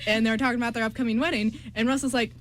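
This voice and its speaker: High-voiced young woman, casual and halting. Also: fairly even intonation, fairly bright tone. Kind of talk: casual anecdote in group chat. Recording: faint room noise.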